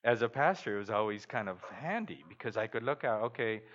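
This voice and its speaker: A man's voice: lively intonation, brisk, fairly bright tone, muffled recording, a little hiss or hum. A middle-aged man who grew up in Guatemala, preaching a sermon as a guest speaker and telling stories, acting out the people he quotes. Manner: storytelling, acts out quoted voices with big pitch jumps. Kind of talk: sermon with anecdotes.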